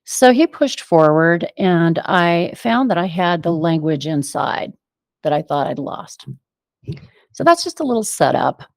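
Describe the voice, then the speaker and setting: A woman's voice: on the low side, sing-song, measured pace, no room sound, clean studio sound. An American woman being interviewed, reflecting on personal experiences and thinking aloud in loose, run-on sentences. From United States, Venezuela and Argentina. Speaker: interview guest.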